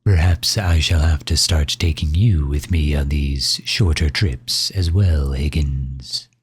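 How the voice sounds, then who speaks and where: A man performs a megalomaniac villain with an extremely low, husky voice. He is pompous and suave, talking down to his servant in formal, leisurely phrases. It is an ASMR roleplay, recorded close and dry. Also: takes it slowly, dry recording, heavily acted. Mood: weary, contented.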